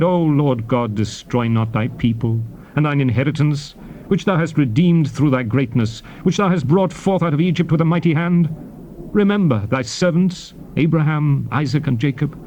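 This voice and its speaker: An actor playing a solemn Hebrew prophet and leader, addressing his people in formal, declamatory scripture oratory with measured phrasing. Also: medium pitch, darkish tone, lightly acted. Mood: pleading. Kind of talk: dramatized scripture reading, formal theatrical oratory. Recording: noisy old cassette tape transfer.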